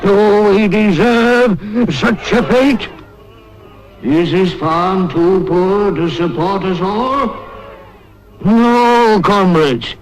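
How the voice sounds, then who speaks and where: An actor playing an aged, dying boar who gives grave, declamatory speeches in a 1950s animated film. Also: male voice, sits high, soft background music. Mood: impassioned, rousing. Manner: grave, declamatory, deliberate dramatic pauses. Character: aged dying boar, solemn revolutionary farm orator. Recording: noisy 1950s film soundtrack.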